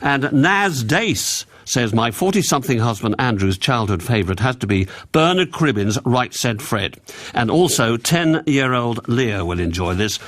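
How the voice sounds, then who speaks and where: A man's voice: medium pitch, expressive, moderate pace, a bit bright. Chatty male radio host. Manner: jokey asides and rhetorical questions. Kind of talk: chatty radio presenting, reading listeners' messages.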